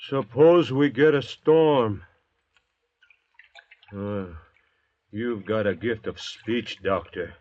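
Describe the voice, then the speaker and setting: An actor playing a surly, suspicious convict thief in an old radio drama, blunt and grumbling. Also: male voice, on the low side, slow pace, long pauses, a little mellow. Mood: worried, grumbling. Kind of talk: 1940s radio drama acting. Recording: dull vintage radio recording.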